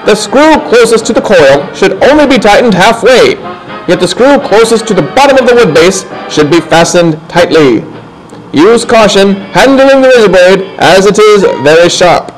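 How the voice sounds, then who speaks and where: A man narrating a scripted how-to film, giving step-by-step instructions in a deliberate, folksy way. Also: sits high, lively intonation, rough recording. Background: instrumental music.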